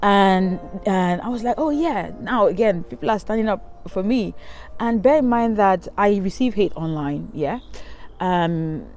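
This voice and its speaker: A woman's voice: mid-range voice, unhurried, darkish tone. A young woman from Cameroon, telling a candid, rambling storytime to her channel, filming herself outdoors by a river with a handheld camera. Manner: candid, rambling, many ums and restarts. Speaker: vlogger. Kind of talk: casual storytime monologue. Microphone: handheld camera at arm's length.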